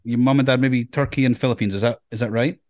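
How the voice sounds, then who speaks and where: A man's voice: medium pitch, fairly even intonation, quick pace, a little mellow, no room sound. A man hosting a podcast interview, asking questions with many restarts. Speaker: podcast host. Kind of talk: casual interview chat.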